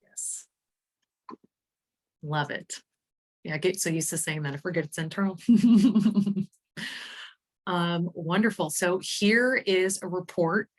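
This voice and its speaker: A woman's voice: fairly low pitch, slow pace, pauses often. A woman presenting a conversational webinar demo, explaining things informally as she goes, with little tag questions. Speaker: presenter demonstrating survey software. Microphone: computer mic on a screen-share video call.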